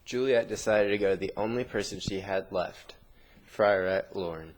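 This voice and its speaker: Low-voiced man narrating a story. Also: normal speed.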